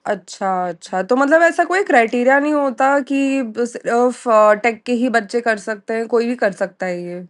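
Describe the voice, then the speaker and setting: Higher-voiced Indian woman asking casual questions. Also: normal speed, few pauses. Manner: inquisitive, frequently acknowledging and rephrasing questions. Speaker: interviewer. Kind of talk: casual question-and-answer conversation. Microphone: call recording.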